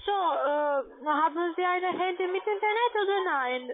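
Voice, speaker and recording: A very high-voiced woman speaks over a thin, tinny phone line. She is a non-native call-centre agent who gives halting instructions in broken grammar. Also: lively intonation. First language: not German. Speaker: phone scam caller posing as investment firm agent. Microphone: telephone.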